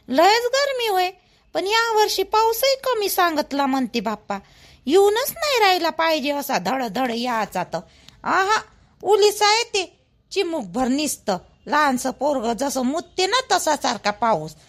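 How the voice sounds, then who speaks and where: A woman's voice: high voice, big pitch swings, measured pace, full character voice. A woman voicing a chatty, nosy but helpful older village housewife in a cartoon, speaking colloquial Vidarbha Marathi full of exclamations. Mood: chatty, grumbling. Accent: Varhadi Marathi, Vidarbha. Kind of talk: rural family cartoon dialogue.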